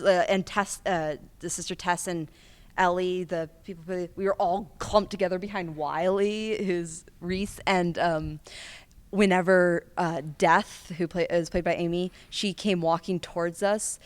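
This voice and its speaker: A woman's voice: fairly low pitch, clean tone, no echo. A teenage girl, a student actor, answering casually in an interview. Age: teens.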